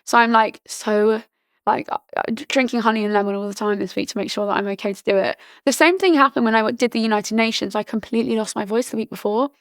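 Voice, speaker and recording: A woman in her early twenties from Britain, chatting casually as a podcast guest, full of "like" fillers and restarts, her voice slightly hoarse. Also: on the high side, fairly even intonation, quick pace, no echo, clean studio sound. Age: early 20s. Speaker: podcast guest, charity founder. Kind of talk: casual interview chat.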